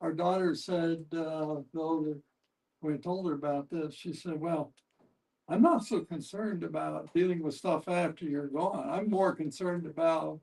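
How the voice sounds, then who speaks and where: An older man giving a halting, deliberate personal account in a group discussion. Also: fairly high pitch, vocal fry, darkish tone. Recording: distant microphone.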